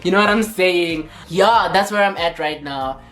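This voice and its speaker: A woman's voice: lowish voice, moderate pace, fairly bright tone. A woman with a South African accent, talking to camera in a sassy, playful vlog and slipping between languages. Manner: sassy, playful, code-switching banter. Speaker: YouTuber. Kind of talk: casual vlog talk to camera.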